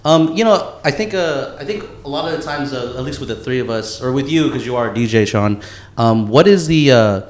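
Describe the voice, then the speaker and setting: A man's voice: medium pitch, animated, brisk. A man hosting a podcast, chatting casually in a slangy way peppered with "bro" and "man". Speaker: podcast host.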